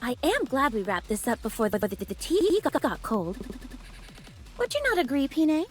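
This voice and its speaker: Genteel, high-voiced anime lady. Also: very animated, moderate pace, faint music behind. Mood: poised. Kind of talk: anime game voice acting.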